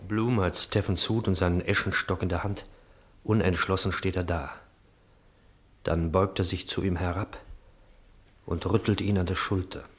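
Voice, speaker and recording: A man's voice: low-pitched, steady tone, normal speed, pauses often. A man reading scripted narration for a literary radio drama.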